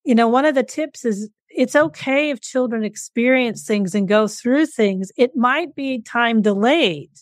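A woman's voice: fairly high pitch, unhurried, hardly any pauses, no echo, studio quality. A middle-aged woman, a parent and guest co-author, speaking earnestly in a casual interview with frequent fillers.

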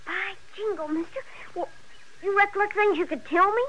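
A woman's voice: extremely high pitch, a little fast, a bit bright. Eager, piercing little frontier boy. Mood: excited, eager. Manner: folksy, curious frontier speech. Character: eager, wide-eyed young frontier boy. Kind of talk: 1950s radio western acting. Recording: noisy old radio recording.

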